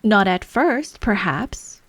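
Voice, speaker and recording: A woman's voice: medium pitch, lively intonation, slow, a bit bright, no echo. A woman voicing an eccentric male hat-maker, haughty and mournful, in a storybook reading. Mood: expounding.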